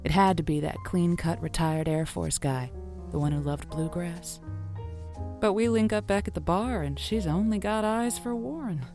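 A late-night radio agony aunt, wry and theatrical, with a lowish voice over music. She spins her stories playfully for her listeners, as in an audio drama. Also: a little mellow. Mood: exasperated, animated. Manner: playful, wry, storytelling delivery. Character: wry, theatrical woman hosting a late-night lonely-hearts radio show. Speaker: radio call-in host. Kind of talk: semi-improvised audio drama monologue.

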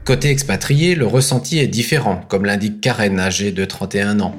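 A man as news presenter, reading a scripted voice-over at a measured pace. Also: lowish voice, soft background music.

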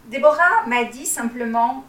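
A woman whose first language is Arabic, working as a life coach and leading listeners through a reflective exercise in a calm, measured way, often posing questions. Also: on the high side, far from the mic.

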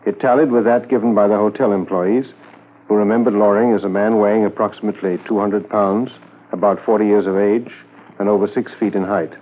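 An actor with a Canadian accent playing a measured, matter-of-fact Mountie inspector who narrates a manhunt in a 1950s radio drama. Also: male voice, lowish voice, fairly even intonation, natural voice. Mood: sober, factual. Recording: tinny 1950s radio transcription, faint music.

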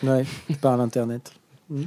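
A man's voice: mid-range voice, little pitch movement, a little slow, dark tone, no echo. A man co-hosting a casual film podcast, slipping jokes into the talk between hesitant fillers. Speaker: podcast co-host.

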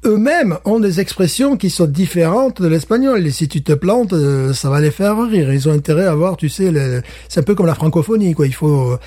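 A man, a teacher and guest on a podcast, chatting casually, animated, with many restarts and acted-out little scenes. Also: a little high, moderate pace, few pauses. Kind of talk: casual chat.

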